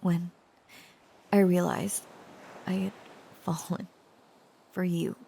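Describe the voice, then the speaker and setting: A woman playing a shy, protective dragon-descended lover in an intimate romantic audio roleplay, speaking haltingly and earnestly, often dropping toward a breathy whisper. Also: lowish voice, little pitch movement, warm tone, a little hiss or hum. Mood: earnest, reassuring. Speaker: voice actor.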